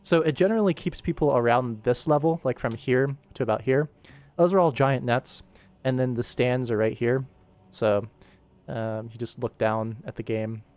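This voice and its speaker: A man's voice: medium pitch, a little mellow. A young man talking casually as a livestream host, rambling playfully and thinking aloud as he works. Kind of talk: casual livestream chat while working.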